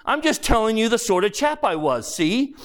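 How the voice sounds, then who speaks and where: A man's voice: high-pitched, big pitch swings, moderate pace, a bit bright, voice pushed up. A man voicing the sulky, indignant ghost of a self-righteous working man in a storybook, blustering and aggrieved in slangy speech. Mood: aggrieved, insistent. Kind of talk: dramatised audiobook character voice.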